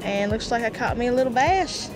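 A woman's voice: fairly high pitch, lively intonation, a little fast, music in the background, poor audio. A young woman vlogging while fishing by a creek, chatting straight to her viewers through a handheld action camera. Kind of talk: casual outdoor vlog talk. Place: outdoors by a creek. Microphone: action camera held at arm's length.

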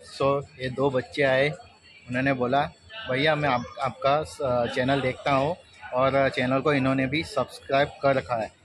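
Guy doing a casual train vlog, chatty, bits of english mixed in, average pitch, noisy railway ambience behind him. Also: level tone, normal speed. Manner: informal, chatty, mixing in English words. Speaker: railway travel vlogger, host. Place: on a train and at station stops. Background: train and vehicle noise.

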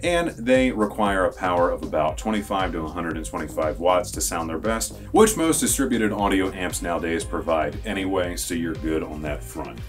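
Low-pitched American man presenting products over music. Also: animated, normal speed, hardly any pauses. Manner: enthusiastic, emphatic sales talk. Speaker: product presenter for an audio store. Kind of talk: scripted product review to camera. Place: small indoor studio with dark backdrop.

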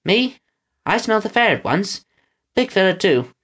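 A man's voice: high voice, very animated, normal speed, fairly bright tone, breathy edge, pitched above the performer's own voice, exaggerated voice. A man voicing a dim, cheerful henchman in a storybook, rambling in folksy, ungrammatical chatter. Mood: cheerfully oblivious.

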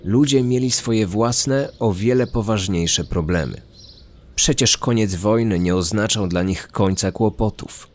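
A man's voice: fairly low pitch, measured pace. A man reading scripted true-crime narration as the narrator of a podcast. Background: background music.

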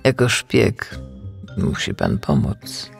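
A streetwise storybook seabird, acted by a male narrator, cheeky and full of suspicion. His gravelly voice swoops dramatically through slangy lines. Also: low voice, huge pitch swings, slow pace, soft background music, pitched below the performer's own voice, full character voice. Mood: pressing, conspiratorial.

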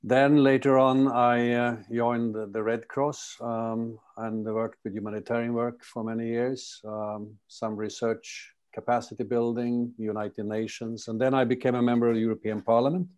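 An older man speaking as a panelist on a video call from a home study, unhurried and reflective with hesitant pauses. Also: mid-range voice, level tone, a little mellow. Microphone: video call webcam.

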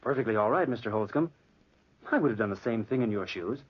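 A guilt-crazed young murderer. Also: male voice, lowish voice, quick pace, husky. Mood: smooth, composed. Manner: feigned calm cracking into frantic whispering and shouting. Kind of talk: 1940s radio drama acting. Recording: muffled old radio broadcast.

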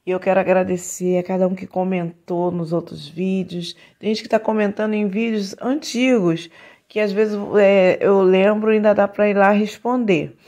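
A woman's voice: medium pitch, fairly even intonation, moderate pace, mellow. A woman talking casually to her viewers while unboxing a dishwasher in her home kitchen, which is under renovation, recorded on a phone camera. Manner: chatty, repeatedly says "gente", talks to viewers while unboxing. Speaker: home vlogger.

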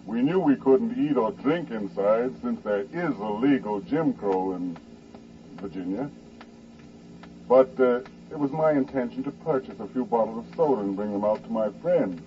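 A man's voice: mid-range voice, lively intonation, moderate pace, close to an everyday voice. Man acting a poet storyteller on muffled old radio. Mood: wry, reflective. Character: well-known male poet recounting a wry travel anecdote. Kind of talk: 1940s radio drama storytelling. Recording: muffled, hissy 1940s radio recording.

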